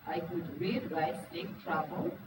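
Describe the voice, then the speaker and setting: A woman's voice: lowish voice, lively intonation, measured pace. Woman giving a talk, mic far off, echoey room with noise. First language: Wolof. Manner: frank and earnest, asks the audience questions. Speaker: speaker at a business talk. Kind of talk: presentation to a live audience. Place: meeting room with an audience.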